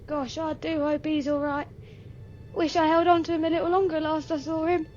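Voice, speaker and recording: A woman's voice: sits high, little pitch movement, moderate pace, a little mellow, faint music behind, close to an everyday voice. A woman playing a plucky, earnest nine-year-old boy in a radio play, with old-fashioned urchin phrasing. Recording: dull, lo-fi, faintly noisy recording.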